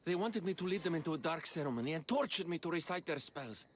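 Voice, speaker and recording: A nervy stage performer, voiced by a man, with a fairly high voice over faint ambient noise. He speaks earnestly and dramatically, like a shaken man in a game cutscene. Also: lively intonation, fast, lightly acted. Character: anxious, rattled stage actor craving godlike confidence. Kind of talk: video game cutscene acting.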